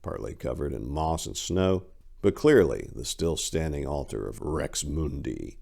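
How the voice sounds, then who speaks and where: A man's voice: rumbling low, animated, a little slow, creaky, warm tone. A middle-aged man giving a dramatic reading of a first-person horror story, his narration swelling as tension builds, recorded on a lapel mic indoors. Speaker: reader of horror stories. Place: indoors in front of bookshelves.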